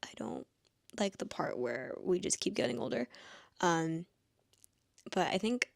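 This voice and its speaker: A woman's voice: fairly low pitch, sing-song, unhurried, creaky, dry recording, clean studio sound. A woman in her late twenties musing aloud in a casual vlog, rambling with lots of "like" fillers. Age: late 20s. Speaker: vlogger. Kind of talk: reflective casual monologue.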